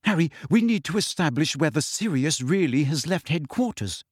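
A man's voice: a little high, animated, moderate pace, husky, no room sound, studio quality. A man voicing a clever, anxious teenage girl witch who argues fiercely and pleads. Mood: pleading.

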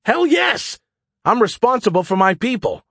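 A man's voice: mid-range voice, lively intonation, fairly quick, breathy edge, dry recording. A man performs an audiobook military pilot. He sounds sullen and stubborn, with indignant sarcasm and a strong sense of duty. The recording is very dry. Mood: indignant, urgent. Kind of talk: audiobook character acting.

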